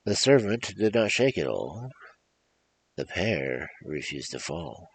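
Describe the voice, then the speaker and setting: Deep gravelly man telling stories theatrically. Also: sing-song, slow. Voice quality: gravelly, husky. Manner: theatrical, rhythmic emphatic delivery. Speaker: storyteller, show host. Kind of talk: animated performed fairy-tale reading with casual asides.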